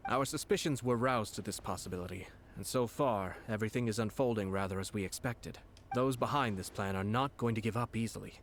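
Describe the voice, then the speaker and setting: An actor playing a composed, refined young nobleman in an anime-style video game, speaking in a measured, formal way. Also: fairly low pitch, a little hiss or hum, lightly acted. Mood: composed, resolute.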